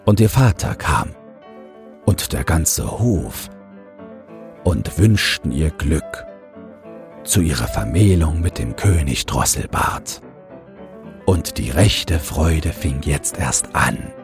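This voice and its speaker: Ein Mann liest als Hörbucherzähler ausdrucksvoll einen geschriebenen Märchentext vor. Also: male voice, rumbling low, lively intonation, slow pace, creaky, long pauses. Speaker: audiobook narrator and podcast host. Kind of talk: scripted fairy-tale narration. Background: soft music.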